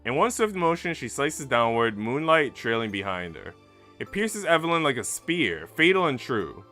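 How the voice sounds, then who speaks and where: A man's voice: medium pitch, sing-song, moderate pace, bright, forceful tone, faint music behind. A man streaming, reading a visual novel aloud and chatting casually with his audience. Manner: informal, slangy when chatting.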